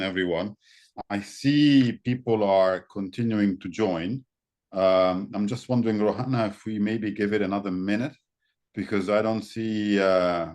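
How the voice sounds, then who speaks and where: A man with a very low, deep voice, a native speaker of Arabic and Italian, presents in an online session. He talks in a relaxed, hesitant way with plenty of ums and "you know". The sound comes over a video call mic.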